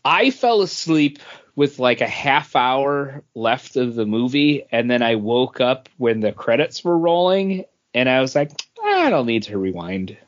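A man's voice: medium pitch, very animated, unhurried. A man co-hosting a podcast, chatting casually about entertainment news. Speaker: podcast co-host. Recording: dull, lo-fi podcast audio.

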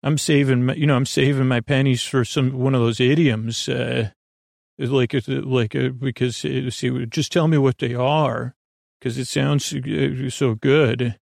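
A man with a creaky voice hosts a sleep podcast, rambling through a bedtime monologue with halting repetitions and drawn-out words. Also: medium pitch, fairly even intonation, darkish tone, no room sound, clean studio sound. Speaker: podcast host. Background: music.

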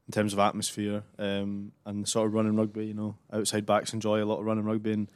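A man co-hosting a sports podcast, relaxed and modest as he talks about a match. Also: on the low side, fast, clear, resonant tone, dry recording. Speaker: co-host and player. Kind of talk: casual sports podcast chat.

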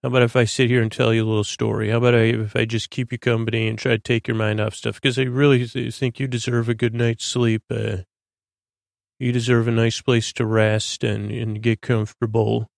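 A man hosting a bedtime podcast in a creaky, lulling voice, droning along in a halting, stammering, meandering monologue meant to help listeners sleep. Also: mid-range voice, flat delivery, no echo, clean studio sound. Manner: halting, stammering, repeats and plays with words. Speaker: podcast host.

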